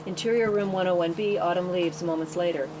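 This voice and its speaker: A woman's voice: fairly even intonation, brisk. A woman narrating screenplay directions at a table read. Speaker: narrator reading stage directions. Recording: clear background rumble and room noise.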